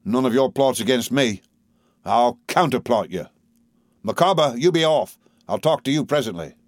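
A man's voice: medium pitch, animated, moderate pace, no echo, clean studio sound, pitched above the performer's own voice, natural voice. A man voicing a spiteful, scheming clerk in an audiobook, sneering and threatening with false familiarity. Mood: menacing.

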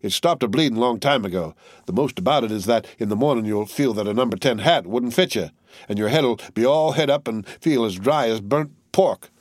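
A man voicing a gruff army corporal who talks bluntly in a folksy country dialect. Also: medium pitch, fairly quick, no room sound, studio quality, natural voice. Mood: matter-of-fact.

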